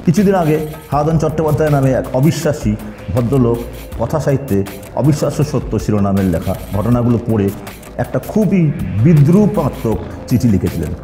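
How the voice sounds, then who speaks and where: A man narrates a horror audio story dramatically. His voice is very dark and mellow, with an echo on it. Soft music plays behind him. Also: medium pitch.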